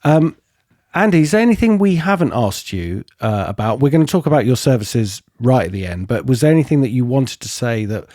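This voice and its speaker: Male podcast co-host, mellow dark voice, hesitant musing, dry sound. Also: medium pitch, very animated, brisk, no room sound. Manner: musing, hesitant, thinking aloud. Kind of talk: podcast interview.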